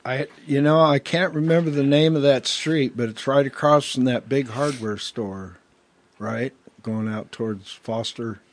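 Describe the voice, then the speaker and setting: A man's voice: mid-range voice, measured pace. A man chatting as a guest musician on a talk radio show, laid-back and hesitant. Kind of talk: casual radio chat.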